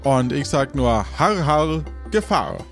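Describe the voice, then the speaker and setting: A man's voice: mid-range voice, animated, slow pace, faint music behind. A man hosting and narrating an improvised audio adventure as game master, in a playful, dramatic way.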